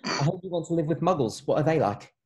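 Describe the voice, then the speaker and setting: A man's voice: on the high side, expressive, fast, a little mellow, close to an everyday voice. A man playing a frank, chatty young wizard boy in a table read, with a casual delivery. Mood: chatty, glum. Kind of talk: online table read of a screenplay.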